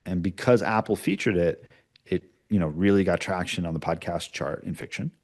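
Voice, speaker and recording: A man's voice: sits low, moderate pace, darkish tone. A man giving casual, explanatory interview answers, often checking in with short tags like "right?". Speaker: podcast producer, interview guest.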